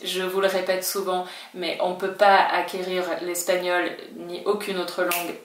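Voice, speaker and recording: A woman's voice: fairly low pitch, monotone, unhurried, roomy sound, recorded from a distance, slight background noise. A woman whose first language is French, a Spanish teacher giving a chatty, reassuring video lesson and now and then acting out her learners' worries. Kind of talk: talking-head language lesson.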